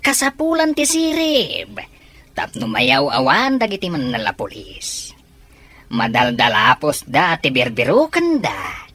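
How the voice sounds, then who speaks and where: Low-voiced, bossy, scheming thief. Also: female voice, very animated, measured pace, fairly bright tone, husky, heavily acted. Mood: sly, boastful. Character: scheming bossy leader of petty car thieves. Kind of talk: radio comedy drama acting.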